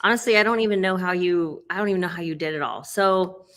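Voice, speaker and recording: Woman host, bright forceful tone, upbeat and laughing, casual interview chat. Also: lowish voice, fast talker. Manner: upbeat, laughs often, fillers.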